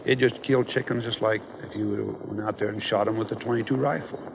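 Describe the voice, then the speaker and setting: A man's voice: fairly low pitch, steady tone, a little fast, gravelly. Colorado farmer guy, american, plain talk telling a story, muffled old film w faint noise. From rural Colorado. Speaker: farmer interviewee. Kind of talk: plain-spoken recollection.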